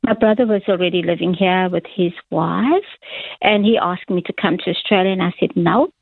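A woman's voice: lowish voice, brisk, gravelly, no echo. Chatty South African-born woman in her sixties. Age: 60s. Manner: chatty, laughs often, rambling. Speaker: interview guest. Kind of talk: casual testimony interview. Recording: tinny phone line.

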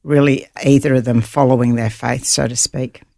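A woman with a New Zealand accent, a guest telling her life story in an earnest, halting way with many fillers. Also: a bass voice, steady tone, gravelly, warm tone, no room sound, clean studio sound. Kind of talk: personal testimony interview.

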